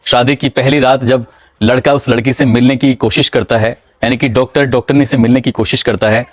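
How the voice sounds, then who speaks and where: A man narrating a true-crime story in a measured, dramatic storytelling manner. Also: mid-range voice, fairly even intonation, dry recording.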